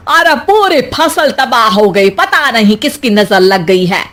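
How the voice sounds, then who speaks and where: A woman voicing a scolding, gossiping village mother-in-law in an animated story, nagging and accusing. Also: a little high, a little slow.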